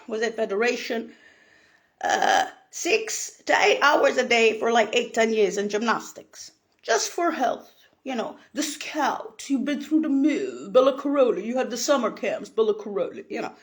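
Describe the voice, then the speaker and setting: A woman from Italy, rambling in a profane monologue, laughing and bursting into shouts. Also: high voice.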